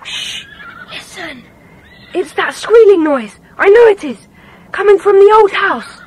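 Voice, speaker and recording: A woman playing an earnest, expressive schoolboy in a children's audiobook drama. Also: extremely high pitch, unhurried. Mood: tense, excited. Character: Jack, earnest schoolboy in a secret club.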